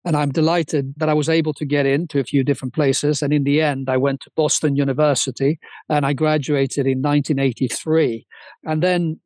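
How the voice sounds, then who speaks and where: A man's voice: fairly high pitch, steady tone, fairly quick, darkish tone, no room sound. A man in his sixties, originally from Sweden, telling his career story as a podcast guest with fluent enthusiasm and small restarts. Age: 60s. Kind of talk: interview storytelling.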